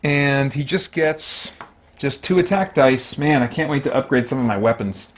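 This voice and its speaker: A man's voice: mid-range voice, moderate pace. A man hosting a solo tabletop game, talking casually and thinking aloud in a rambling way as he plays. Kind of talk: casual game play-through commentary.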